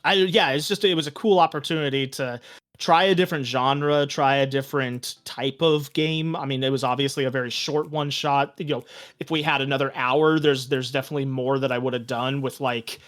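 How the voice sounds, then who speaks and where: A man's voice: mid-range voice, fairly even intonation, brisk, a bit bright. A man speaking as a podcast guest and game master, explaining his approach in a casual, reflective, conversational way. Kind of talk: casual, reflective interview chat.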